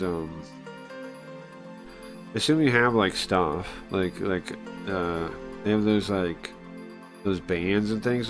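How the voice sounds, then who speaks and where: A man reading and translating a visual novel aloud on a stream in a halting, informal way, often searching for words and chatting along. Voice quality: low-pitched voice. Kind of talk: casual live read-through, translating and chatting.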